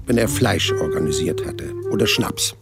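Hundred-year-old man, dry storyteller, gravelly, animated melody, unflappable, sly, radio drama with music behind. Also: very high-pitched, moderate pace, heavily acted. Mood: wry, matter-of-fact. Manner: wry, matter-of-fact, colloquial. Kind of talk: audio drama first-person narration and dialogue.

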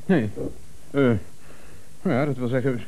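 An actor playing a timid, jealous office employee in a radio comedy, hesitant, stammering and deferential. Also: male voice, medium pitch, a little slow, warm tone, a little hiss or hum, natural voice, poor audio. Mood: flustered, pleading. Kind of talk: 1970s Dutch radio comedy acting.

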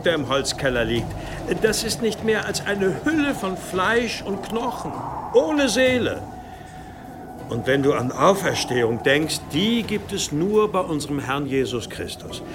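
An authoritative, pious father abbot in an audio drama. He is deliberate and insistent, with animated intonation and a slightly high pitch. Clear ambient noise sits behind him. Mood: stern, patronising. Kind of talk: German audio drama acting.

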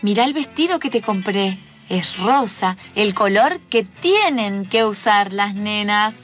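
A woman's voice: medium pitch, expressive, a little slow, a bit bright. A woman playing a strict, doting mother with old-fashioned ideas, in an improvised radio play. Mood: sugary. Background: music.

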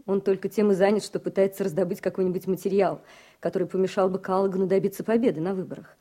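An actress playing a gentle, concerned young woman in a radio drama, quietly coaxing and persuasive. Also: mid-range voice, steady tone, fast, close to an everyday voice. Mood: tentative, coaxing. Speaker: actor in a radio play. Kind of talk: Soviet-era radio drama acting.

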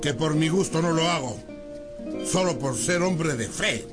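An actor playing a stingy, cruel priest in a radio drama, scolding and gloating with sanctimonious menace. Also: male voice, a little high, lively intonation, measured pace, loud music behind the voice. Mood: scolding, contemptuous. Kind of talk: theatrical radio drama acting.

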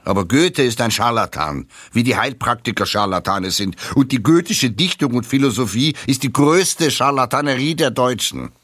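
A man giving a deliberate, scornful dramatic reading of literary quotations for radio. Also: medium pitch, a bit bright, no room sound, studio quality.

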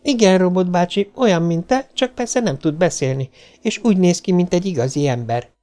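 A man's voice: high voice, animated, warm tone, faint music behind, pitched above the performer's own voice. A man voicing an earnest, chatty little girl in a storybook, childlike and eager to explain. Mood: eager, polite.

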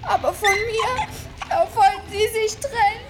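A woman's voice: very high-pitched, measured pace, heavy background noise. An actress in a German radio play voicing a young, anxious, pouting runaway niece, plaintive and girlish. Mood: pouting, reproachful.

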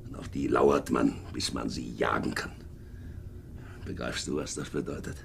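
An actor playing a hardened, domineering middle-aged sea captain and war veteran in a German radio drama, brooding and clipped. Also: male voice, fairly even intonation, normal speed, full character voice. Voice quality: gravelly, creaky low voice. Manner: brooding, clipped, swinging from hearty to menacing murmur. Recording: noisy 1950s radio recording.

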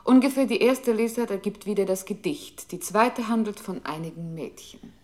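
A woman's voice: mid-range voice, lively intonation, normal speed, a loud crowd, close to an everyday voice. Woman acting as a cold, commanding computer control unit. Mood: impersonal, commanding. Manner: impersonal, precise, clipped, procedural. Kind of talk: avant-garde radio play, clipped announcing.

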